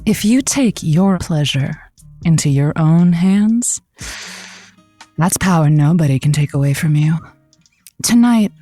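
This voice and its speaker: Audio comedy: sultry female radio host, lower register, dry studio sound, teasing, sharp-tongued, suggestive. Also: no echo. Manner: suggestive, playful, wry. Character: flirty, frustrated, sharp-tongued woman late-night radio host.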